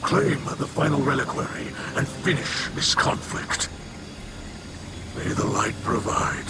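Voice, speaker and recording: A man playing a solemn, protective alien leader and troubled father in a video game, gravelly, formal and grave. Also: medium pitch, expressive, unhurried, noisy background. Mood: troubled, resolute. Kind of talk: video game mission narration.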